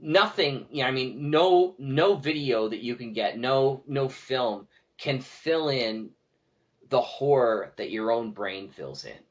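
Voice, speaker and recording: A man talking casually as a podcast guest, a teacher who tells stories in an animated way and acts out what people say. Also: mid-range voice, slow. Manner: animated storyteller, acting out quoted remarks. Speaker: podcast guest, teacher and audio drama producer. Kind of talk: casual conversational interview talk.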